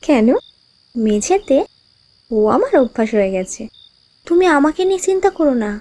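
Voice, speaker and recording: High-pitched invisible young woman, gentle and wistful. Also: sing-song, normal speed, dry recording. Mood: coy, insistent. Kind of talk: Bengali animated love ghost story dialogue.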